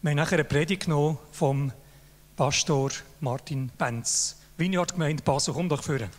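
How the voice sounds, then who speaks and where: An older man speaks with a Swiss German accent, his voice a touch high. He talks in an informal, praising way, and the handheld mic carries a long hall reverb. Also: moderate pace, a little hiss or hum. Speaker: jury member presenting an award. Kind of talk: Swiss German laudatory speech. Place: large hall on a stage.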